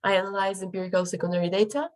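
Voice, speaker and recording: A woman's voice: medium pitch, little pitch movement, rapid-fire. A young woman presenting her research, her voice affected by a cold, speaking carefully with frequent ums and restarts. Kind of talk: academic presentation.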